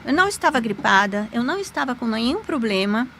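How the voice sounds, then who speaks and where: A woman, a podcast guest, telling a personal story in an animated, emphatic way with sudden pitch jumps. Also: fast talker, vocal fry, a little hiss or hum. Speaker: podcast guest, lawyer and magic teacher. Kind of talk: conversational storytelling.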